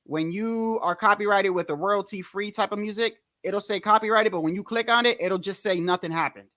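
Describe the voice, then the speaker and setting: A man with a New Jersey accent and a high-pitched voice, hosting a casual boxing livestream in a rambling, slangy way, repeating himself often. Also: brisk, bright, forceful tone, dry recording. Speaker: boxing commentary livestream host.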